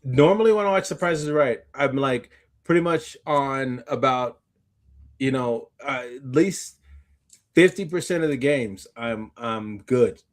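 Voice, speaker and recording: A man's voice: medium pitch, expressive, measured pace. A tired man hosting a podcast, chatting casually in a rambling way and often backtracking mid-sentence. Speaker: podcast host. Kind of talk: casual chat.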